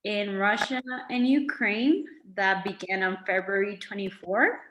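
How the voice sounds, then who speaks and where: A young adult woman student from Mexico, talking through a class discussion in a measured, thoughtful way with some hesitations. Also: mid-range voice, steady tone, a bit bright, highs cut off, slight background noise. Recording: echoey room.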